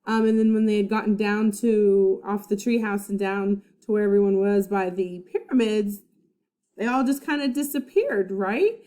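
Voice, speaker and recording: A woman's voice: medium pitch, moderate pace, mellow. A woman first-grade teacher reading a children's book aloud, explaining things simply and checking that listeners follow.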